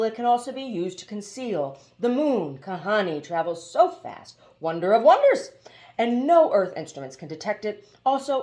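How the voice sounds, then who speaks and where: An emphatic, talkative mechanical bird from a storybook, acted out by a woman. The delivery swings widely in pitch, brisk and lecturing, with a stutter on repeated words. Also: warm tone. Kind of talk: storybook character voice.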